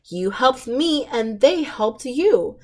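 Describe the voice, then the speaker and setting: A woman's voice: fairly high pitch, sing-song, takes it slowly, heavily acted. A woman voicing a big, brusque, self-important dump truck in an emphatic way while reading a children's picture book. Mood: grateful.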